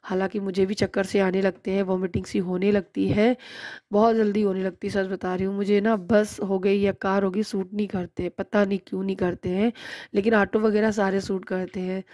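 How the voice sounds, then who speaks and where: A woman's voice: mid-range voice, little pitch movement, moderate pace, hardly any pauses, warm tone, no echo, studio quality. A woman in her thirties narrating her own shopping vlog in a chatty, explaining way. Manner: chatty, explains prices and bargaining. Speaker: vlogger. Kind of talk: casual vlog voice-over narration.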